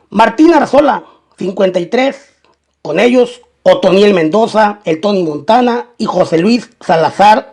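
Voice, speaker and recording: High-pitched man narrating. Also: darkish tone. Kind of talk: scripted documentary-style crime narration.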